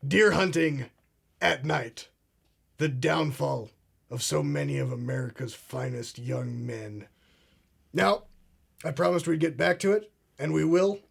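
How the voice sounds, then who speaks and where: A man's voice: fairly high pitch, measured pace, gravelly, pauses often, husky, heavily acted. An actor playing a pompous, paranoid male conspiracy talk show host who speaks in a grandiose, ominous way. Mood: grave. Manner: grandiose, ominous, addresses "ladies and gentlemen". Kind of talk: comedic mock talk show.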